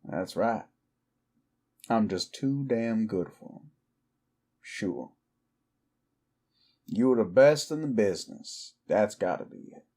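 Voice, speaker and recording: Slow, low-voiced cowboy outlaw, acted by a man. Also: expressive, warm tone, exaggerated voice. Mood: cocky, mocking. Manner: slow, deliberate, goading, rhetorical questions. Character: cowboy outlaw werewolf, taunting, brooding, defiant. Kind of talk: intimate roleplay audio drama acting.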